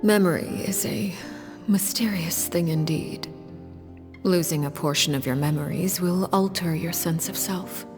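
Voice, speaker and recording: Casting: stern female game leader, low-pitched, formal, measured authority, faint music underneath. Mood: grave, calm. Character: stern, composed woman leader of orphans, called Father. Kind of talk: scripted video game voice acting.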